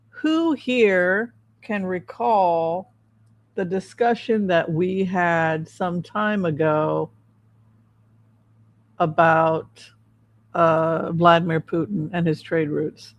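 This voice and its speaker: A woman hosting a casual livestream as a psychic reader, talking in a halting, repetitive way. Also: fairly low pitch. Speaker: host, psychic tarot reader.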